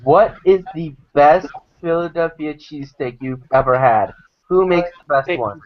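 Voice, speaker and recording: A man's voice: a little high, a little slow. A young man raised in Florida, a guest chatting casually and telling easygoing stories. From born in South Dakota, raised in Florida. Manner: easygoing storyteller, laughs at his own tales. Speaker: guest on the stream. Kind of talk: casual chat. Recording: muffled voice chat audio.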